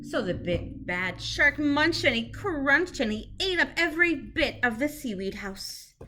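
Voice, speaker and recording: A woman teacher reading a children's picture book aloud in an expressive storytelling manner. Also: sits high, measured pace, slight background noise.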